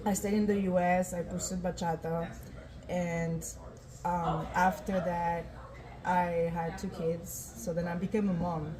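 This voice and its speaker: A woman from France, a guest dancer telling her story in a relaxed way, with many "you know" and "um". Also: fairly low pitch, measured pace, a crowd in the background, poor audio. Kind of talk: casual interview talk. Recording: faint event noise.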